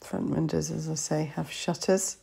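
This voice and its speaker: A woman's voice: deep voice, little pitch movement, normal speed, creaky, dark tone, slightly rough. A woman estate agent narrating a walkthrough of a house, listing its features matter-of-factly in short phrases. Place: inside an old house.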